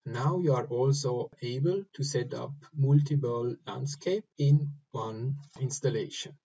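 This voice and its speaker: A man giving step-by-step instructions for a software screen recording, speaking in short, halting phrases. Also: mid-range voice, breathy edge, lo-fi sound. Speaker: software tutorial presenter. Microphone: voice-over recorded over screen capture.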